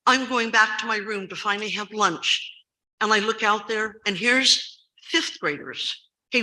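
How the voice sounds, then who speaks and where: An older woman in her seventies speaks with a very bright, sharp tone and a loud voice that carries. She tells her story with urgency, leaning hard on repeated words. Her voice sits at a middling pitch. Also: low-quality recording. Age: 70s. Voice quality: loud, carrying voice. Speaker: school recess staff member giving public comment. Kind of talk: public comment at a school board meeting.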